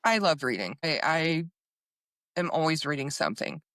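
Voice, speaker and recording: A woman's voice: deep voice, sing-song, moderate pace, a bit bright, no echo, studio quality. A woman hosting a casual interview podcast, speaking in an effusive, encouraging way. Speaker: podcast host.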